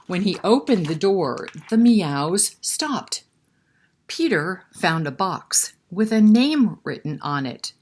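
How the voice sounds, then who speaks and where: Female story-time reader, slightly low pitch, very animated intonation, chatty asides and questions for children. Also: takes it slowly. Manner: expressive, chatty, prompting listeners with questions. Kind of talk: picture book read-aloud with asides to children.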